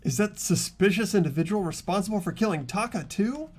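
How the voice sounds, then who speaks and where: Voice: medium pitch, expressive, fairly quick, pitched above the performer's own voice, close to an everyday voice. A performer voicing an earnest, anxious, easily startled teenage boy who narrates an amateur dub of a mystery game. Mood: hesitant.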